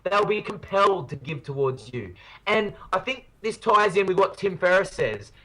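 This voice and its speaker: A man's voice: sits high, animated, moderate pace, pushed and bright, low-quality recording. A man hosting a podcast in casual chat, playful and jokey, hyping ideas with enthusiasm. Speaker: podcast host.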